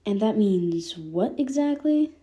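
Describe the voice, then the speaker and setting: A woman's voice: mid-range voice, animated, slow, dark tone. A woman voicing a wary, sardonic young man in a fan fiction reading. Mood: skeptical, snarky. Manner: sardonic, blunt. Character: snarky, wary young man, gruff but caring.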